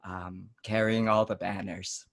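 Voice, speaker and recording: Man acting proud, halting veteran newsboy. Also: sits low, level tone. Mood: proud, genial. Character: proud, genial veteran New York newsboy. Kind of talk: improvised comedy sketch spoofing old radio plays.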